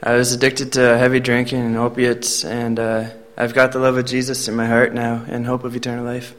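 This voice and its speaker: A young man in his twenties gives a short, sincere testimony. His voice stays almost perfectly flat at a middling pitch. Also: a little fast. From Canada. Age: 20s. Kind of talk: personal testimony.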